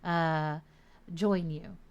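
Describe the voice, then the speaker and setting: A woman hosting a casual radio-style stream interview, chatty and quick to laugh. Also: deep voice, steady tone, clear, resonant tone, lo-fi sound. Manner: chatty, laughs often, warm check-ins.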